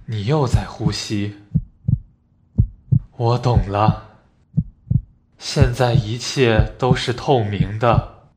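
A man playing a solemn, resigned, tender dying patient in an amateur radio play, speaking in a halting, deliberate way. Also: on the low side, steady tone. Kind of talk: amateur radio play reading of stage drama. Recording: noisy recording with clicks and throbbing.

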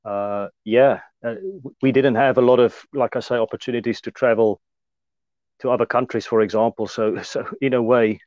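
A man in his thirties from South Africa with an Afrikaans accent, speaking as an interview guest in a relaxed, hesitant way with many fillers. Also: medium pitch, normal speed, dry recording, lo-fi sound. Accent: Afrikaans-accented South African English. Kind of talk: casual conversational interview.

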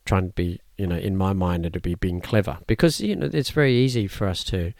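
A man whose first language is Cantonese, a martial arts teacher explaining his teaching in a thoughtful interview, often pausing and restarting. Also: sits low, creaky, a little mellow, dry recording, clean studio sound. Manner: measured, thoughtful, with frequent fillers and restarts. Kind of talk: conversational interview answers.

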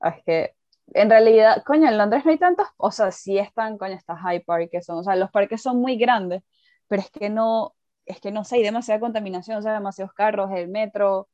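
Emphatic Venezuelan woman, fast talk. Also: mid-range voice, dark tone, no echo, dull audio. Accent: Venezuelan Spanish. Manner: quick, emphatic, swears casually. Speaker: podcast guest. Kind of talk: casual chat.

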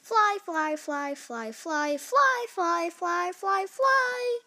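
A woman voicing an eager, determined little girl pig from a storybook in an excitable, exclaiming manner. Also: high-pitched, unhurried, heavily acted. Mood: eager, excited.

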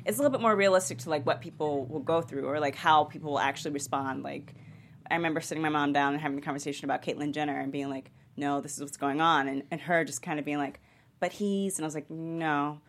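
Woman w a pretty low voice chatting on a panel, rambles, says like constantly. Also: quick pace, slight background noise. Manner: rambling, peppered with "like". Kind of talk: casual panel discussion.

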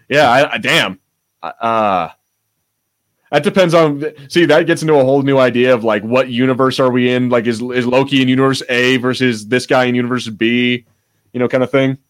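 A man co-hosting a podcast in casual, unscripted chat, rambling, searching for words and breaking off mid-thought, with some swearing. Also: medium pitch. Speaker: podcast co-host.

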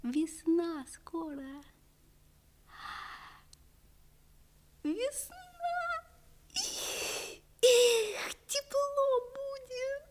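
A woman playing a lively, dreamy and boastful seven-year-old village boy in a Russian radio play, exclaiming in a sing-song way. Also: very high-pitched, full character voice. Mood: hushed, tender.

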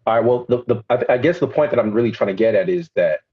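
Deep husky-voiced man asking rambling questions. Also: lively intonation, fast talker. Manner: rambling, hesitant, many fillers and restarts. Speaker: podcast host. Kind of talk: casual interview questions. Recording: dull lo-fi podcast audio.

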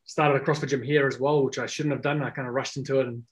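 Relaxed man, casual. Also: mid-range voice, fast. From South Africa. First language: Afrikaans. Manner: rambling, many fillers and restarts. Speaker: guest. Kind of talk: casual podcast chat.

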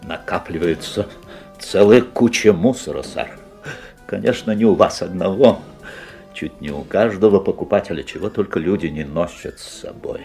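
An actor playing a polite, insinuating, mysterious magic-shop salesman in a radio play, with deliberate, unctuous courtesy. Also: low voice, warm tone. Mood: slyly courteous. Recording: 1970s audio play, music behind.

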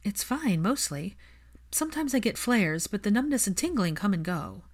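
A woman voicing a candid, gently teasing adult woman, a mother, in a story reading. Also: medium pitch, very animated, moderate pace, voice pushed up.

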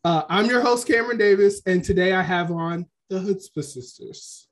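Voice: medium pitch, moderate pace. A podcast host in casual chat, talking loosely with ums and trailing restarts.

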